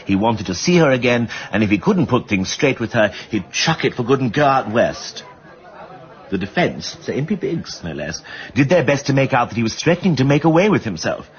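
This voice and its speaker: An actor playing a well-bred, insistent amateur sleuth in a radio drama, with an upper-class English accent and a plummy, drily witty delivery. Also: male voice, medium pitch, very animated, brisk, dull audio, some chatter behind, close to an everyday voice. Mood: earnest recounting. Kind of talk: British radio drama acting.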